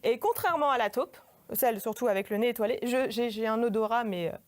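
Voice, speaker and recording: High-voiced woman giving a playful performance lecture. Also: fast. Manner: informal, hesitant, self-deprecating. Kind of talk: humorous autobiographical stage monologue. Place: in front of a live audience.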